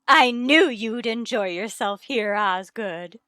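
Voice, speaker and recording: An actress playing a middle-aged, breezily bossy wife and gushing hostess in a vintage radio comedy. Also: female voice, fairly high pitch, takes it slowly, sharp tone, dry recording, studio quality. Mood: soothing. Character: chirpy, managing middle-aged wife of the principal.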